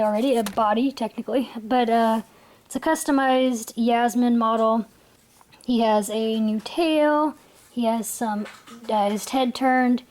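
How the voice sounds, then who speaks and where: Young woman, fairly high voice, worn out and rambly, casual haul vlog. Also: a little mellow. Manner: rambling, giggly, with frequent restarts. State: tired, voice worn out. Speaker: vlogger.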